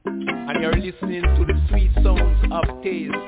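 Man toasting a slow reggae radio drop. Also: high-pitched, flat delivery. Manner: slow, sing-song toasting delivery. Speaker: radio show jingle announcer. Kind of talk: chanted and sung radio station drop over reggae. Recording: lo-fi phone-like sound with loud reggae music.

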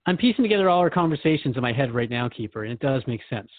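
A male radio host with a medium-pitched voice, recorded dry and close. He speaks conversationally, with an earnest and reflective manner, as if thinking questions through. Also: expressive, very fast, no echo, phone-quality sound. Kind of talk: conversational interview with a spirit guide.

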